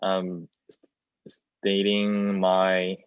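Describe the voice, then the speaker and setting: Slow, halting young man with Korean accent. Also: deep voice, completely flat delivery, clear, resonant tone. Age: young adult. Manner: hesitant, halting, searching for words. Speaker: student playwright, panelist. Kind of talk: casual panel discussion. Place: plain room, indoors. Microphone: video call, wireless earbud worn. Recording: roomy, dull video-call audio.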